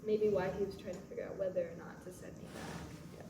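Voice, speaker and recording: A woman's voice: on the low side, steady tone, fairly quick. A woman actor chatting casually at a post-show question session. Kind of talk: casual chat. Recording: echoey room, distant, noisy.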